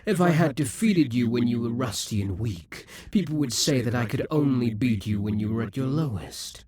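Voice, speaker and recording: A man's voice: medium pitch, expressive, moderate pace, crowd noise, close to an everyday voice. A man voicing a proud, possessive male demon king who taunts and schemes, sometimes dropping to a whisper. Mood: taunting. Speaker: voice actor in an audio roleplay. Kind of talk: audio roleplay acting.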